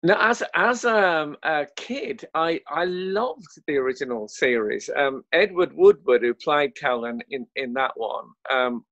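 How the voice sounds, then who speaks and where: A man's voice: fairly high pitch, lively intonation, unhurried, few pauses, fairly bright tone, no echo, muffled recording. A man, an actor chatting in a casual interview in a wry, hesitant way. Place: home, remote lockdown recording.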